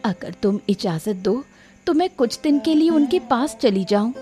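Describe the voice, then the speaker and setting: Meek cartoon wife female actor, gentle, timid, pleading, somewhat high voice, music underneath. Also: expressive, a little slow. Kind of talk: cartoon moral-story voice acting. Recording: music behind the voice.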